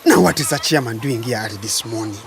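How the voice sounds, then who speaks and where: Blustery old Ugandan man, animated. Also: medium pitch, fast, gravelly, background noise. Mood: grumbling, teasing. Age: older. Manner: blustery, teasing, easily affronted. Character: grumbling, teasing old farm owner and committee chairman. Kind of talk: radio drama acting.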